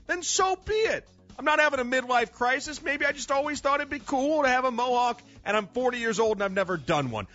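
Animated bright-voiced man on sports radio. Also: very high-pitched, fairly quick. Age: 30s. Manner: animated, argumentative, rhetorical questions. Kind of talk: sports talk radio commentary. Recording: slightly dull radio broadcast with faint music.

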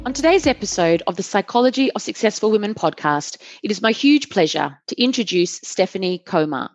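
A woman's voice: medium pitch, sing-song, fairly quick, faint music behind. A woman hosting a podcast, reading a scripted intro and then interviewing her guest with effusive, enthusiastic praise. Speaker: podcast host.

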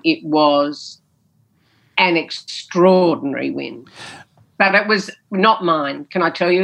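Emphatic woman, lowish voice. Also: vocal fry, a bit bright. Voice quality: big theatrical voice. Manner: emphatic, repeats key phrases, frequent "you know". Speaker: former politician, interview guest. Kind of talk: interview answers, reflective storytelling.

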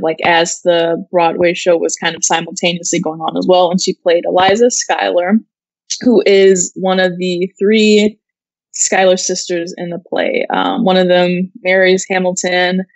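A woman's voice: lowish voice, moderate pace, dry recording, rough recording. Chatty American woman, dry close sound. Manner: chatty, peppers talk with "like". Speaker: co-host of an entertainment news show. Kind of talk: casual chat.

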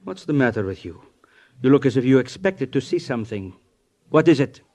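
An actor playing a suspicious, commanding communist party boss in 1950s radio drama style, authoritative and probing. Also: male voice, mid-range voice, big pitch swings, brisk, dark tone. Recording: noisy 1950s radio recording with clicks.

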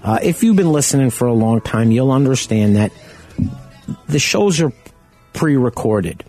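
A man hosting a car-buying radio show from home, talking in a loud, chatty, conversational way. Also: medium pitch, expressive, moderate pace, soft background music. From Arizona. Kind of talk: casual radio talk.

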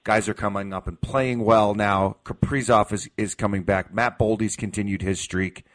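A man's voice: fairly low pitch, steady tone, moderate pace. An American man hosting sports talk radio, giving his opinions emphatically and repeating key phrases to drive them home.

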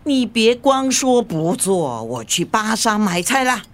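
A woman's voice: on the high side, sing-song, a little slow. A woman acting a caring, fussing mother in a radio drama, scolding, with a Singaporean accent. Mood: fussing, fond. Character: nagging, caring mother of a grown daughter.

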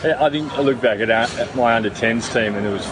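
A middle-aged man from New Zealand, a former rugby player answering interview questions in a relaxed, rambling way with many fillers and restarts. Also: mid-range voice, fast, background noise.

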